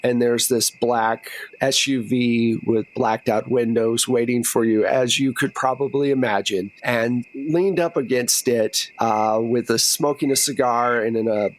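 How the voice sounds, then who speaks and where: A man's voice: medium pitch, fairly even intonation, unhurried, few pauses, low-quality recording. A man working as game master, narrating a tabletop role-playing game in a casual, conversational way.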